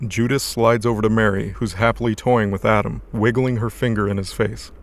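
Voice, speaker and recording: Gravelly, low-voiced male narrator. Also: fairly even intonation, fairly quick. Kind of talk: dramatic scripted narration.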